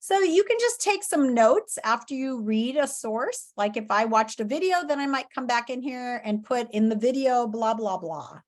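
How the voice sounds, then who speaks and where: A woman's voice: a little high, expressive, moderate pace, hardly any pauses. A woman teacher reading a story aloud and giving lesson instructions to pupils, expressively and directly.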